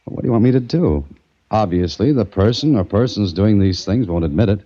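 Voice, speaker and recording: An American man playing a calm, inquisitive adventurer, narrating a mystery in measured 1940s radio style. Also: lowish voice, husky. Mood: probing, concerned. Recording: muffled old-time radio recording, music behind.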